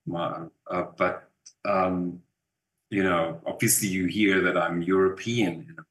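A man's voice: low voice, fairly even intonation, unhurried, a bit bright. German-accented man, roomy echo. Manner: chatty, rambling with fillers and restarts. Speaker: actor guest. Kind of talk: casual interview.

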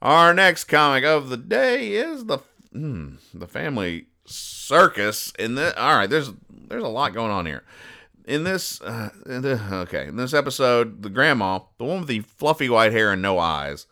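A man hosting a podcast, explaining jokes in a mock-serious, deadpan and over-explaining way. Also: mid-range voice, a little slow. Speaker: podcast host.

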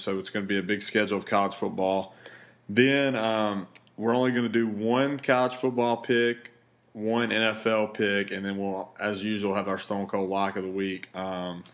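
A man hosting a casual betting podcast, speaking in a laid-back, rambling way with a raspy voice. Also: lowish voice, a bit bright. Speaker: podcast host.

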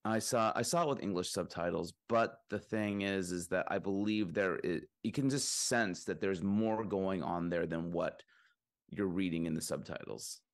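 Very deep-voiced man chatting casually. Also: moderate pace. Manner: rambling, lots of fillers and restarts. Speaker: podcast co-host. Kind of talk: casual chat about films.

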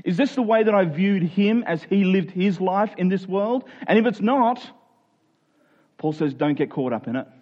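A man with a somewhat high-pitched voice delivers a sermon in everyday language. He is earnest, asking questions of his listeners and rephrasing points for emphasis. The recording is a little dull and lo-fi. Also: lively intonation, moderate pace. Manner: earnest, rhetorical questions, restating phrases for emphasis. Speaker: preacher. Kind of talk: sermon, explaining a Bible passage in plain conversational words.

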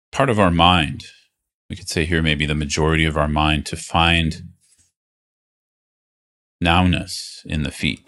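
Male meditation teacher, very deep voice, gently instructive, reassuring, leaves space between phrases. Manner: unhurried, reassuring, phrases broken by pauses.

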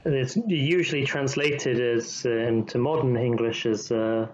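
Man, rabbi, mid-range voice, measured and reflective delivery; slightly lo-fi audio. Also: medium pitch, steady tone, a little hiss or hum. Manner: measured, thoughtful, slowing on key phrases. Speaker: rabbi, podcast co-host. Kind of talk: reflective conversational discussion.

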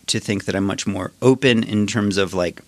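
A man's voice: on the low side, fairly even intonation, moderate pace, darkish tone, dry recording. A man in his thirties, a musician guest on a podcast, talking thoughtfully and hesitantly. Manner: thoughtful, hesitant, many fillers and restarts. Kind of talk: casual interview chat.

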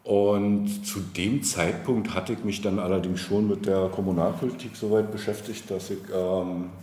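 A man's voice: sits low, fairly even intonation, moderate pace, warm tone. A man in his forties from eastern Germany answering interview questions in a reflective, winding, somewhat hesitant way. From Brandenburg, East Germany. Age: 40s. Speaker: interview guest, local politician. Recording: roomy echo, faint background noise.

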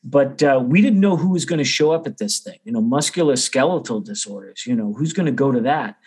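A man's voice: medium pitch, animated, fairly quick, a little mellow, husky. A middle-aged American man talking casually and conversationally as an interview guest about his own experience. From Boston area, United States. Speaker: percussion teacher, interview guest.

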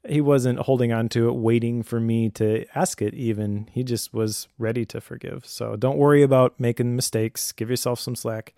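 A man co-hosting a conversational advice podcast in a measured, reflective way. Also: mid-range voice, steady tone, mellow, dry recording, clean studio sound. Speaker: podcast co-host.

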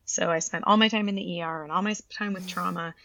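A woman's voice: on the low side, steady tone, fast, creaky, pushed and bright. A woman in her thirties from Canada, a pediatric orthopedic surgeon, telling her career story in an enthusiastic, chatty way. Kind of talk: interview answers.

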